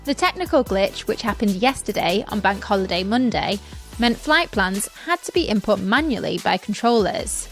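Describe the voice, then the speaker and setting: A woman's voice: medium pitch, expressive, moderate pace, faint music behind. A woman presenting scripted news as a podcast host.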